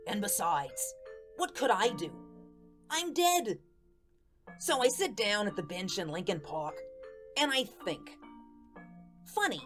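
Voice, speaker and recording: A woman playing a wistful, lovesick little male ghost who narrates a radio drama in folksy, rambling slang. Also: mid-range voice.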